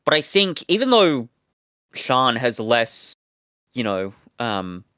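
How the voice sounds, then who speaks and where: A man co-hosting a review podcast in casual discussion, talking haltingly with a wry, sweary manner. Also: medium pitch, sing-song, bright, forceful tone, smooth, dry recording, studio quality. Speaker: podcast co-host reviewing audio dramas.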